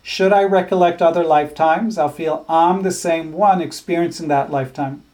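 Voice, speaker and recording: Soft-spoken man teaching a scripture class. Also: on the high side, steady tone. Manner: halting, thoughtful, with frequent restarts; chants verses slowly. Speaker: spiritual teacher.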